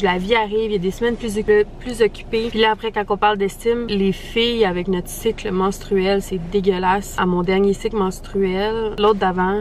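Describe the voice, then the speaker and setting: A woman's voice: medium pitch, darkish tone, slight background noise. A young woman with a Québécois French accent, giving a candid, chatty life update and peppering her talk with English slang. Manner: chatty, self-deprecating, frequent English slang. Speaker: vlogger.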